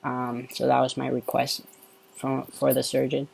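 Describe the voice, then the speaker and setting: A man with a soft, androgynous-sounding voice, talking through a casual update vlog in a relaxed, unhurried way. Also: mid-range voice, gravelly, husky, poor audio. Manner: relaxed and unhurried, with frequent ums. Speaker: vlogger.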